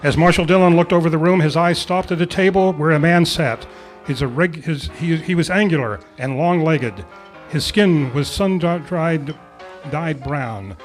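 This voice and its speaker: A man's voice: fairly high pitch, low-quality recording. A man acting as announcer and narrator for an amateur old-time radio play. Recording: live club performance with music behind.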